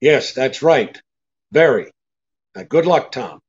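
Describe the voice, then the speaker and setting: Animated hard-boiled male detective. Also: medium pitch, highs cut off. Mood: businesslike. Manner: brisk, clipped, hard-boiled, accusing. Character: tough, shrewd male private detective. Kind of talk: old-time radio drama acting.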